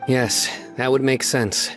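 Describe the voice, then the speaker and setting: An actor playing a stern, composed young investigator in a video game, serious, deliberate and formal in his analysis. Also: medium pitch, fairly even intonation, fairly bright tone, soft background music. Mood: pondering. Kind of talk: video game character acting.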